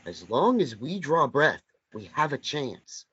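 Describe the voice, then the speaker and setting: A man voicing the ghostly memory of a dead lover in a table read of a stage play, speaking in a slow, gentle, earnest way. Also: medium pitch, big pitch swings, slight background noise. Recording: dull lo-fi sound.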